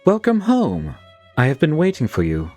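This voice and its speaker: A man's voice: a little high, huge pitch swings, moderate pace, creaky, full character voice. A man playing a formal, composed and devoted butler in an anime-style manga dub. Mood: polite, smug. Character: suave, unflappable male demon butler, formal and devoted. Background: music.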